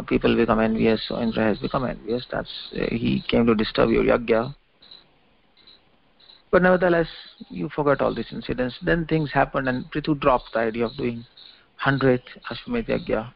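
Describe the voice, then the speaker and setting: A man with an Indian accent giving an extempore religious lecture on scripture, haltingly, repeating and restarting phrases as he explains. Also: mid-range voice, gravelly. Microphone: conference call line. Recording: dull lo-fi call audio, faint noise, clicks.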